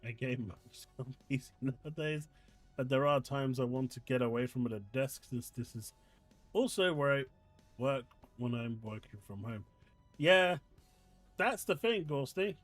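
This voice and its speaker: A man's voice: mid-range voice, lively intonation, measured pace, no echo, faint music behind. A man with an English accent hosting a casual live stream from his desk at home, reading chat and ranting in disbelief. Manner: exasperated, ranting, incredulous.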